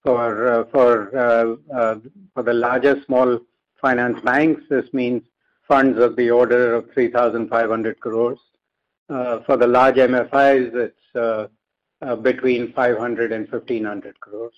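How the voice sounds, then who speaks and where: A man with an Indian accent giving explanatory answers as an expert on a conference call, halting and measured, choosing his phrasing deliberately. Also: mid-range voice, level tone. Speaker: industry expert guest. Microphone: conference call line. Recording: dull lo-fi conference call audio.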